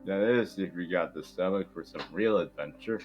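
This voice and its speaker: Man putting on a deep, blustering old boat captain. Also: moderate pace, a little mellow, muffled recording, soft background music, close to an everyday voice. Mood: boastful. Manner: blustering, emphatic. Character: gruff, obsessive old boat captain hunting a lake monster.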